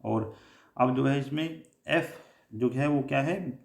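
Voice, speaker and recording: A man with an Indian accent giving a technical lecture, explaining in a halting, repetitive way and mixing in English terms. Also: medium pitch, creaky. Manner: halting, repetitive explaining with frequent filler phrases. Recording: echoey room.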